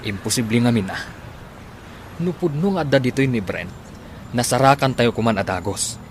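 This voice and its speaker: An actor playing a doubtful, weary husband in a radio drama. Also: male voice, medium pitch, animated, normal speed, slight background noise, natural voice.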